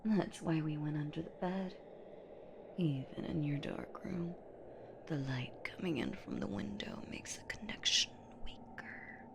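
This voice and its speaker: A woman's voice: low voice, a little slow. A woman voicing a menacing, playful centuries-old boogeywoman in a horror audio roleplay, with a theatrical, teasing manner that often slips toward a whisper. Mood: sinister, relishing. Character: menacing, playful centuries-old female boogeywoman monster hunting her prey.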